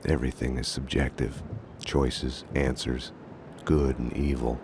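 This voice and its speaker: An actor playing a world-weary hard-boiled detective, narrating a noir game in a grim deadpan. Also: male voice, rumbling low, unhurried, noisy background. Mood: deadpan, fatalistic. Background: music.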